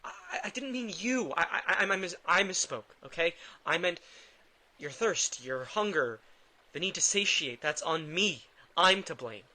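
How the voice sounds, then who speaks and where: A man playing a drained, guilt-ridden vampire in an intimate audio drama, speaking haltingly and defensively. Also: high voice, expressive, sharp tone, full character voice. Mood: flustered, anguished. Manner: halting, defensive, stammering under pressure.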